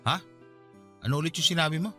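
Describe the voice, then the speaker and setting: A man's voice: fairly high pitch, quick pace, pushed and bright, soft background music. A man playing a defensive, resentful husband in a Tagalog radio drama, dismissive and argumentative. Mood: distracted, curt.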